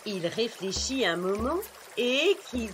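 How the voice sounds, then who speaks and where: A woman narrating children's stories in an expressive storytelling style. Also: on the high side, measured pace, heavy background noise.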